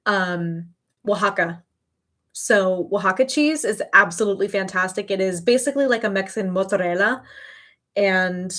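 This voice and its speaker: A woman in her early thirties hosts a casual livestream. Her voice sits at a middle pitch for a woman. She talks in an informal, emphatic way, readily gushing as she chats to her viewers. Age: early 30s. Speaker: livestream host.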